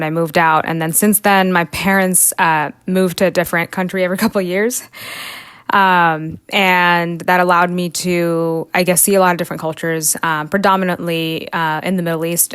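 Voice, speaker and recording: A woman's voice: lowish voice, a bit bright, clean tone, no echo. A young woman from South India, a podcast guest who talks freely with many fillers and frequent laughs. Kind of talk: casual podcast interview.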